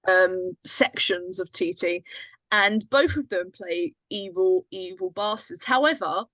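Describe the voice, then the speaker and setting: A woman's voice: lowish voice, slow pace, a bit bright, dry recording, low-quality recording. A woman who produces and casts drama, bantering casually in a teasing, blunt way. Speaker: drama producer and casting director.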